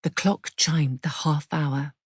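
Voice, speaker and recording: A woman's voice: low voice, steady tone, slow, husky, dry recording, studio quality. A woman with a British accent giving a scripted audiobook narration of suspense fiction.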